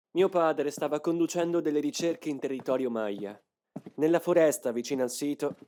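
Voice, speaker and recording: A man's voice: medium pitch, darkish tone, natural voice. Commanding, secretive young man, voiced by an actor over music. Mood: grave, controlled. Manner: solemn, commanding, dramatic. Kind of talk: dubbed anime motion comic acting.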